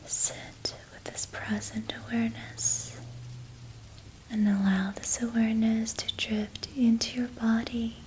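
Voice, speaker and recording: Young woman, soft unvoiced whisper, very slow, flat gentle tone, ASMR relaxation guide. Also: a little high, rough recording. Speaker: ASMR creator.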